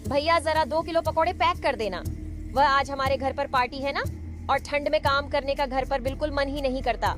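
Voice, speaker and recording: Woman playing a high-pitched young customer. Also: moderate pace, a bit bright, faint music behind. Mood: chatty. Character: polite, chatty young female customer. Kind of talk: Hindi moral-story cartoon voice acting.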